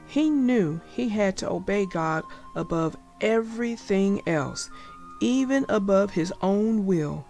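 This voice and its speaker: Female read-aloud narrator for children's stories; unhurried, deliberate, faint music bed. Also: mid-range voice, lively intonation, darkish tone. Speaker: storybook narrator.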